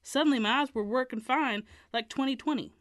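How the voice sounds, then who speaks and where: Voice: sits high, brisk, fairly bright tone, no echo, clean studio sound, pitched above the performer's own voice. A reader voicing an easygoing teenage boy with a drawl in a storybook reading. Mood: puzzled.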